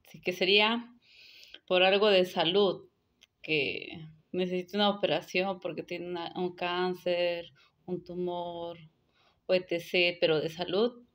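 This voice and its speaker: Earnest young woman, lowish voice. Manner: earnest, repetitive, drops to soft near-whispers. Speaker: vlogger giving advice. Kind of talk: casual advice monologue to camera. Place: indoors, close to phone. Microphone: phone held close to the face.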